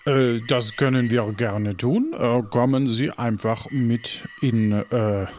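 A man playing a polite, flattering French cannibal cook in a tabletop game, haltingly and with French words mixed in. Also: mid-range voice, natural voice. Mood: hesitant, courteous.

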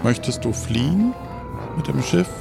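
A man's voice: medium pitch, very animated, a little slow, gravelly, over music. A man narrating an improvised audio adventure game as game master, conversational and feeling his way through the story.

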